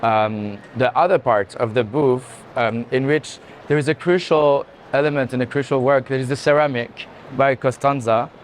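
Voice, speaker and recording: A man giving unscripted art tour commentary in a halting, explanatory way. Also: mid-range voice. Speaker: art gallery director in conversation. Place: indoor art fair hall. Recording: clear background noise of busy venue.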